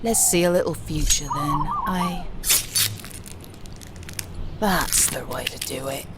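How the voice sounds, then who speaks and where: Woman playing an ancient cantankerous London street witch, low-pitched. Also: lively intonation, slow, heavily acted. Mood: muttering, scheming. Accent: British, London. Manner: scolding, muttering, colloquial. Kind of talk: comic dub acting. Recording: clearly noisy background.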